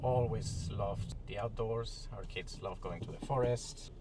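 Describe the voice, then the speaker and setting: A man's voice: lowish voice, measured pace. A man from Spain, chatting casually as a vlog co-host, hesitant with many restarts. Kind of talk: casual chat to camera. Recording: distant mic with loud traffic noise.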